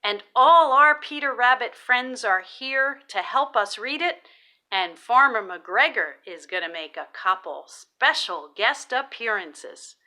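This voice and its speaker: A woman's voice: fairly high pitch, expressive, measured pace, bright, forceful tone. A woman giving a scripted introduction to a children's read-aloud as its presenter.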